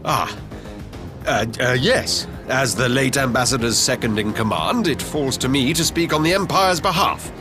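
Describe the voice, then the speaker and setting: An actor playing a formal, earnest imperial envoy in a video game, speaking in a measured, diplomatic way. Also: male voice, mid-range voice, natural voice. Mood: courteous. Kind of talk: video game cutscene acting. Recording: loud game music behind.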